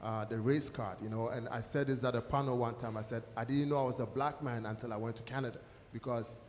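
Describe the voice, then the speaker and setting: A man's voice: medium pitch, fairly even intonation, fast talker, a little mellow. A man from Ghana speaking as a panelist, telling stories earnestly. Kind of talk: panel discussion. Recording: long hall reverb, faint noise.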